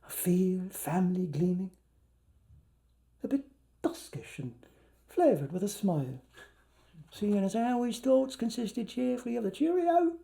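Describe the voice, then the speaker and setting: A man's voice: high-pitched, expressive, creaky, warm tone. A man with an Irish accent giving a theatrical, playful literary reading, declaiming and drawing out words for a live audience. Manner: declamatory, dramatic, playful delivery with drawn-out words. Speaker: actor reading aloud.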